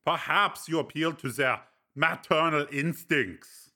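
A man's voice: fairly high pitch, slow, sharp tone, pitched above the performer's own voice, exaggerated voice. A man reading aloud, voicing a dry, mocking older male companion in a storybook. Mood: teasing. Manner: wry, teasing.

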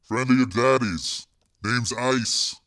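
A man's voice: mid-range voice, steady tone, takes it slowly, a bit bright. A man voicing a big, tough, laconic man with terse, slangy street talk in an audiobook. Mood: calm, gruff. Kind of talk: audiobook character voice.

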